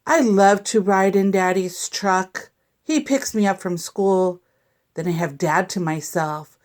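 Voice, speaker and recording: A woman reading a picture book aloud to young children in an expressive, deliberate, teacherly way. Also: medium pitch. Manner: deliberate, animated reading with sound effects and asides to listeners.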